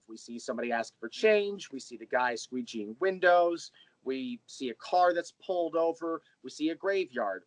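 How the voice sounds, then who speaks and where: A man's voice: mid-range voice, no echo, low-quality recording. Man explaining his work, close dry sound. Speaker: interview guest, audio drama creator and writer. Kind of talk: casual interview conversation.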